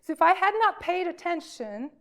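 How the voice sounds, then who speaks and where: A woman minister giving an informal sermon for all ages, speaking in a halting, emphatic way with deliberate pauses. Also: extremely high pitch, sing-song.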